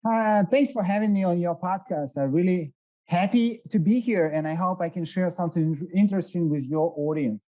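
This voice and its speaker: Halting man on a call. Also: high voice, warm tone, muffled recording. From Russia. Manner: halting, searching for words, laughs easily. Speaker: guest, SEO director. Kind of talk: casual interview.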